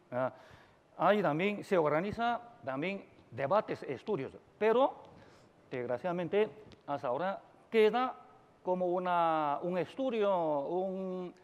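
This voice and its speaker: A middle-aged man whose first language is Chinese gives a formal address at a conference, in a slightly high voice. He speaks very slowly and deliberately, pausing often on filler sounds and restarting phrases. The sound is dull and lo-fi, with long reverb from a large hall. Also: darkish tone. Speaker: invited speaker at a language forum. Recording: dull echoey hall recording.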